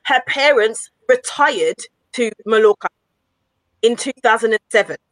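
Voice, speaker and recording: A woman's voice: a little high, measured pace, a bit bright, no room sound, rough recording. Gossipy woman telling a story, dry sound. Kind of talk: casual panel chat.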